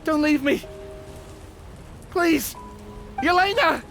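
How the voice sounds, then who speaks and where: Casting: a grief-stricken, haunted man in a game drama; highish, strained, halting delivery over music. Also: vocal fry, a bit bright, exaggerated voice. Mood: anguished, pleading. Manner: halting, anguished, emotionally strained. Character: grieving, guilt-ridden man haunted by a lost companion. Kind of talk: dramatic video game voice acting. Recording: game audio with music underneath.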